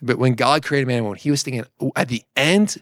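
A man's voice: medium pitch, fast, dry recording. A man with a mid-range voice talks through theology in a conversational, teaching way. He explains point by point and keeps checking in with short tag questions. The sound is dry and close. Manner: explanatory, often adds "right?" as a check. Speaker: discussion co-presenter teaching theology.

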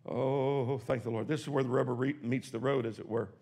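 A man in his sixties preaching a sermon in a church, swinging from hushed, quick asides to shouted exclamations. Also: mid-range voice, steady tone, slightly rough. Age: 60s. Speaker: pastor. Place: church sanctuary.

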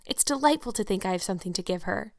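A woman's voice: mid-range voice, animated, quick pace, no room sound, studio quality, lightly acted. A woman doing an effusive dreamy young girl. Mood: eager. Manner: breathless, effusive, romantic. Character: chatty, dreamy, eager girl, about eleven.

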